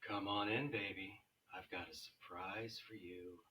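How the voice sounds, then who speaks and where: A man's voice: sits low, fairly even intonation, measured pace, pushed and bright, husky, exaggerated voice. A man playing a sinister, sweetly menacing killer in a dramatic script read. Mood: menacing lure. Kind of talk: dramatic table read of a screenplay.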